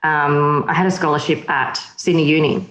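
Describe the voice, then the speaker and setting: A woman from Slovakia chats as a guest on an interview show, lively and quick to laugh. Her voice sits fairly low for a woman. The room around her is echoey and open. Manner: lively and chatty, laughs often. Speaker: magazine editor, interview guest. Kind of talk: casual interview chat.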